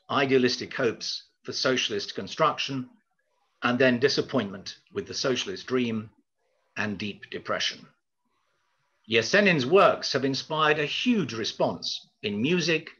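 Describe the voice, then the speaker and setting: An older man lectures in a formal, measured way, with hesitant pauses. His voice is husky but bright and forceful. It comes over a slightly dull video call. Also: medium pitch, lively intonation. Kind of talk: prepared lecture.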